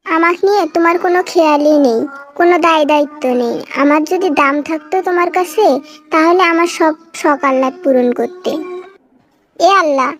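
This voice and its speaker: A woman's voice: very high-pitched, fairly quick, faint music behind. A woman playing an aggrieved, scolding young wife in a comic cartoon, with a rural Bangladeshi accent. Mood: aggrieved wailing. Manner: scolding, wailing, complaining. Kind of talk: melodramatic comic cartoon dialogue.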